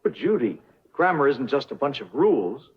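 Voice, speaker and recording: An older man playing a patient, kindly professor, lecturing deliberately and reassuringly in a 1950s educational film. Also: medium pitch, very animated, breathy edge. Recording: dull vintage film soundtrack, music behind.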